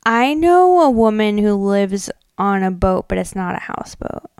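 A woman's voice: mid-range voice, big pitch swings, normal speed, a little mellow, smooth, dry recording, studio quality. An American woman co-hosting a podcast, retelling things casually in informal, slangy speech peppered with "like". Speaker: podcast co-host. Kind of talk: casual chatty retelling and commentary.

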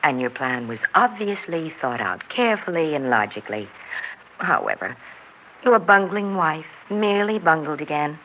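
An actress playing a coolly triumphant, mocking American wife in a 1960s radio drama, full of bitter irony. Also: female voice, deep voice, very animated, moderate pace. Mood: cool sarcasm. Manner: mocking, self-deprecating irony. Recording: dull, hissy 1960s radio recording.

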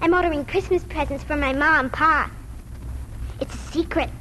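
Very high-voiced little girl. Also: moderate pace, a bit bright. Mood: eager, polite. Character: earnest, eager little farm girl. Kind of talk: 1940s radio acting. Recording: noisy old 1940s radio recording.

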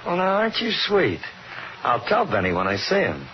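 A man's voice: fairly high pitch, wildly swooping pitch, moderate pace, a little hiss or hum. A man playing an anxious bandleader in a 1950s radio drama, with a folksy, colloquial way of talking. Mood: kindly. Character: anxious male bandleader and clarinetist recounting his trouble. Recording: dull old radio recording, music behind.

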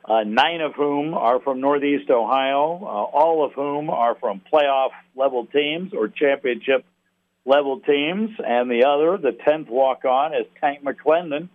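A man talking sports analysis as an interview guest, a play-by-play announcer speaking haltingly, with pauses mid-thought. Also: medium pitch. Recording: thin phone-like radio line.

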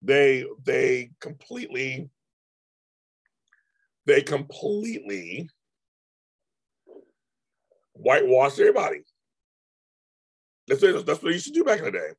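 A man hosting a casual live stream, his voice going hoarse, rambling with many restarts and repeated words. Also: mid-range voice, wildly swooping pitch, slow, pauses often. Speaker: livestream host.

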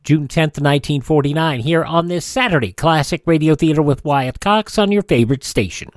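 A man's voice: a little high, fairly quick, no echo. A man reading a scripted almanac narration as a radio host.

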